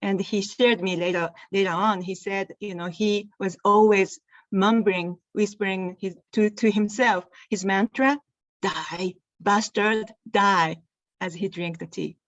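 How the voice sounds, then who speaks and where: A woman's voice: medium pitch, fairly even intonation, unhurried, dry recording, muffled recording. A woman from Japan with a Japanese accent, a native Japanese speaker, telling her story earnestly as an interview guest over a video call. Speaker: guest, tea company founder.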